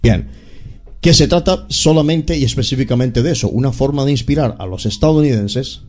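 Lecturing man with a mellow low voice. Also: moderate pace. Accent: Latin American Spanish. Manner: lecturing, emphatic, repeats words when restarting. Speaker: guest expert. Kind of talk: discussion on a talk show.

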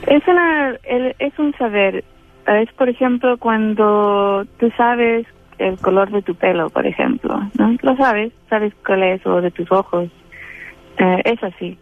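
A woman from Chile with a Chilean-tinged Spanish accent, speaking as an interview guest in a halting way, with many fillers and restarts. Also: mid-range voice. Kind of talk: hesitant conversational interview. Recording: tinny phone-like line with faint music.

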